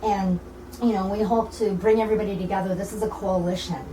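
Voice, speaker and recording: A woman's voice: mid-range voice, steady tone, fast talker, a crowd in the background. An American woman speaking informally as an interview guest, earnest and rambling, often repeating her phrases. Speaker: community organizer and interview guest. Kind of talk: informal radio interview talk. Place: echoey indoor broadcasting room. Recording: roomy, distant mic with faint noise.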